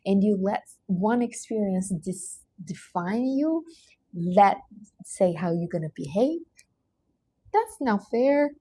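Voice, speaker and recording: Portuguese-accented woman hosting a live stream, earnest motivational pep talk, middle pitch, dry close sound. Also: animated, slow pace, dark tone, husky, no echo. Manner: earnest, emphatic, non-native grammar. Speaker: livestream host.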